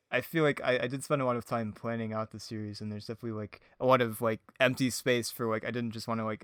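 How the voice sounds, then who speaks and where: Medium-pitched male voice, easygoing, agreeable, hesitant phrasing, casual podcast host, dry recording. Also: clean tone, no echo. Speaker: podcast host, audio drama producer. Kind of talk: casual chat.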